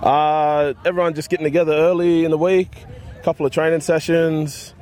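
A man's voice: fairly high pitch, level tone, normal speed, crowd noise. A man from Sydney, a footy player, answering an interview in an upbeat, straightforward way. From Sydney, Australia. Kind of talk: vox pop interview.

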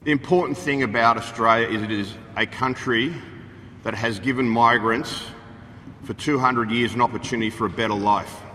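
A man's voice: medium pitch, moderate pace, sharp tone. A man delivering a firm prepared statement as a union official. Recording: noisy, long echoing reverb.

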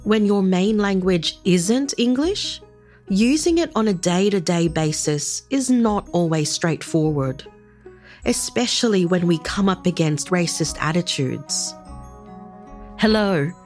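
A woman hosting a podcast, moving between scripted narration and interview questions in a calm, measured, earnest way. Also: lowish voice, soft background music. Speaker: podcast host.

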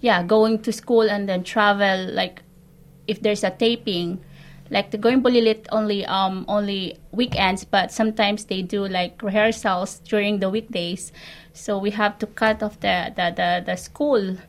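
A woman answers interview questions about her family in English. She speaks in a plain, middle-pitched voice and stumbles and repeats words as she gathers her thoughts. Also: fairly even intonation, measured pace. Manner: hesitant, repeats words while searching for them. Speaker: guest, mother.

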